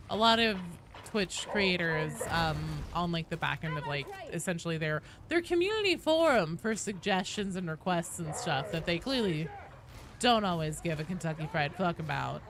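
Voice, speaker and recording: A woman hosts a casual game livestream with clearly audible background noise. Her voice sits at an average pitch, and she talks in an irreverent, chatty way with some swearing. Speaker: game streamer and host.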